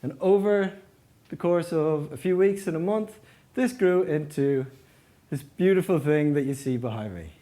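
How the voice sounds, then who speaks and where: A man delivers a prepared talk in the role of an engineering manager. His voice sits a little high for a man but has a very mellow, rounded tone. He explains things in a structured way, often framing points as questions. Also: normal speed. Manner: explanatory, poses rhetorical questions. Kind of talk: prepared conference-style presentation.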